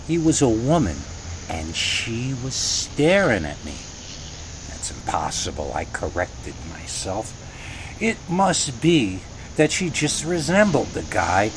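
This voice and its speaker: A man with a medium-pitched voice narrates a creepy first-person tale. He tells it conversationally, pausing for drama. There is some faint noise and ambience behind his voice. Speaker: horror story reader.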